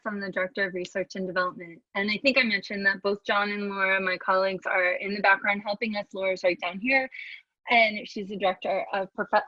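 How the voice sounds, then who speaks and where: Woman, brisk, friendly, moderator, dry room, dull audio. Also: mid-range voice, sharp tone, dry recording. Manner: brisk, friendly, steering questions. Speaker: webinar moderator. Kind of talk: moderating a panel. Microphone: video call.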